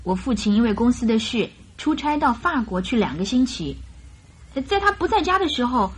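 A woman's voice: fairly high pitch, big pitch swings, normal speed, a little hiss or hum. An actress playing an earnest, naive young bride-to-be in a radio drama, recounting her story in a hesitant, chatty way.

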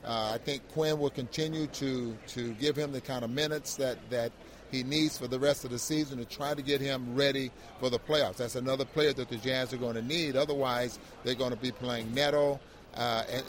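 Mid-pitched man analysing a game. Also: a bit bright. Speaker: sports radio co-host, analyst. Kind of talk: conversational post-game analysis.

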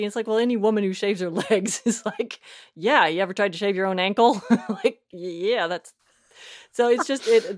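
A woman chatting as a podcast guest and creator, in a reflective, amused way. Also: mid-range voice. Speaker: guest, audio fiction creator. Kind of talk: casual interview chat.

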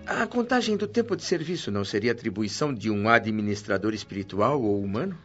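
An earnest, curious Brazilian newcomer, muffled. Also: male voice, on the low side, very animated, fast talker, faint music behind. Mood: curious, puzzled. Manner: inquisitive, reflective, formal. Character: André, curious, earnest, eager male newcomer to spirit world. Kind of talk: Brazilian radio drama acting and narration.

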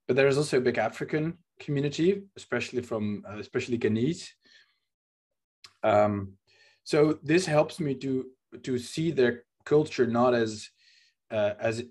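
A man's voice: lowish voice, darkish tone, slightly rough. Earnest Dutch-accented man hosting a podcast. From Netherlands. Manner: earnest, searching, frequent fillers and repeats. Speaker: podcast host. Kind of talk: reflective podcast discussion.

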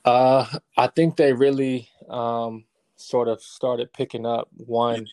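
A man in his thirties, a real estate investor, answering interview questions in a relaxed, casual way with many fillers. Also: medium pitch, slow, dry recording. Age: 30s.

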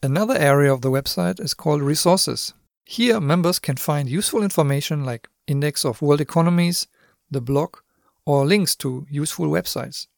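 A man's voice: on the high side, normal speed, creaky, no echo, studio quality. German-accented man hosting a podcast. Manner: friendly, explanatory, with occasional asides to the listener. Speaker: podcast host. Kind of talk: scripted podcast monologue.

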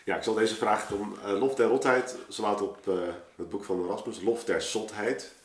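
Low-voiced man in an echoey room. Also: fairly even intonation, moderate pace, far from the mic. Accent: Dutch from the Netherlands. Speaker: guest, poet and performer. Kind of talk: casual explanatory chat. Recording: echoey room, slightly off mic.

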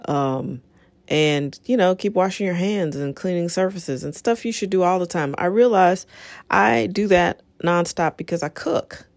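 A woman's voice: fairly low pitch, unhurried, vocal fry, a little mellow, no echo. A middle-aged woman with a slightly scratchy voice hosting a casual solo talk, encouraging and conversational, wandering into asides. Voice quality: a little scratchy. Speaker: show host.

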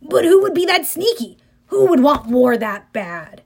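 A woman voicing an anxious teenage satyr boy in a high, whiny voice. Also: very animated, a little slow, vocal fry, a little mellow, exaggerated voice.

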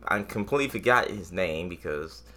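A man co-hosting a casual podcast, bantering about films and games in an animated way, repeating phrases and swearing now and then. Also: low-pitched, fairly quick. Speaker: podcast co-host.

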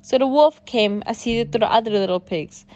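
A woman's voice: on the high side, moderate pace, darkish tone, faint music behind. A woman storyteller reading a children's bedtime story aloud.

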